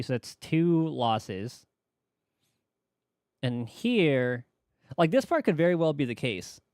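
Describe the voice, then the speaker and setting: A man's voice: a little high, a little mellow, dry recording. A man streaming games, chatting casually and thinking aloud in a tentative, rambling way on a close microphone. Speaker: game streamer. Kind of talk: casual livestream chat about game mechanics.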